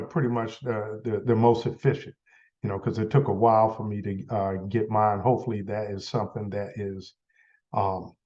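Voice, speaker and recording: A man with a cold, sounding a little stuffy, leading a members' meeting in a halting, filler-heavy way. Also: fairly low pitch, warm tone, lo-fi sound. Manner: halting, many fillers and restarts. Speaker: association leader running a meeting. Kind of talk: casual meeting update.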